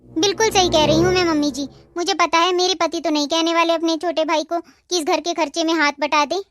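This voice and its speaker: A bossy young sister-in-law from a cartoon moral tale, acted by a woman. Her voice is extremely high and she scolds with biting sarcasm. The sound is dry, with no room around it. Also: fairly even intonation, a little fast, a bit bright, no room sound. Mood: scornful. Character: sharp-tongued, spiteful, bossy young sister-in-law, female. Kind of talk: cartoon moral story acting.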